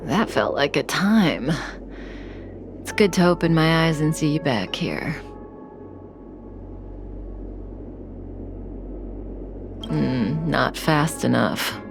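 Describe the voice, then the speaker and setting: An actress playing a calm, enigmatic, seductive woman in a video game cutscene, speaking deliberately and with assurance. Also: sits low, gravelly, over music, exaggerated voice. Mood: assured, composed.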